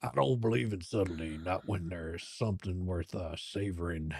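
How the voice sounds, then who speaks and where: Liam, a gravelly, drawling flirt played by a man. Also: low-pitched, moderate pace, darkish tone, no room sound, clean studio sound, full character voice. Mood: flirtatious. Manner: smooth, drawling, teasing. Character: Liam, cocky flirtatious male chef, arrogant and mischievous.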